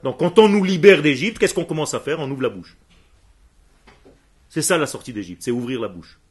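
Husky, animated male religious teacher. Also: fairly high pitch. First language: Hebrew. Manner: emphatic, asks the room questions, answers students quickly. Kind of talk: teaching a class with questions from students.

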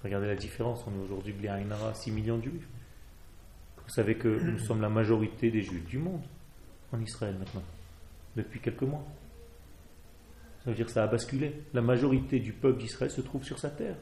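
A man's voice: fairly low pitch, brisk, long pauses, mellow, reverberant, a little hiss or hum. A man from Israel with an Israeli accent and Hebrew as his first language, fervently exhorting a religious class. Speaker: rabbi. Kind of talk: Torah class.

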